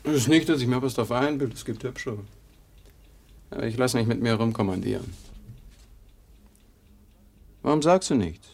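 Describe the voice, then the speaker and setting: A man's voice: mid-range voice, brisk, gravelly, darkish tone. An actor playing a boastful, easygoing young womaniser talking colloquially with his brother in a 1950s German radio play. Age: young adult.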